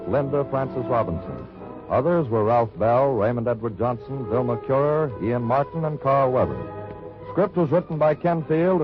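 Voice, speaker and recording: A man reading credits as a formal announcer in 1950s radio style. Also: medium pitch. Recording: muffled old radio recording, music behind.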